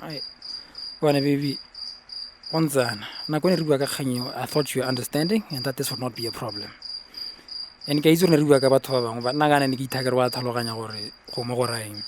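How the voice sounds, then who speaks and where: An actor with a Botswana accent playing a reasonable, placating young fiancé in a radio drama, soothingly mixing English and Setswana. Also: male voice, mid-range voice, fast talker, noisy background, lightly acted. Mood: pleading, defensive. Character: reasonable, placating young fiancé defending his money decision.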